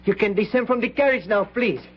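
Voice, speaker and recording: An actor playing a childlike, fearful young Spanish carriage driver in a radio drama, speaking simply and earnestly with a Spanish accent. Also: male voice, sits high, brisk. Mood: eager, polite. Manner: simple, earnest, short phrases. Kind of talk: old-time radio drama acting. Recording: noisy, muffled old radio recording.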